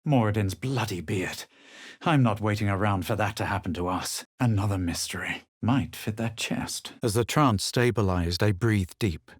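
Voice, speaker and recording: An actor playing a haughty, self-assured male adventurer in a fantasy video game, speaking measured, formal scripted lines with a superior manner. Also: lowish voice, breathy edge, no room sound, studio quality. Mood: grave, measured. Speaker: video game player character.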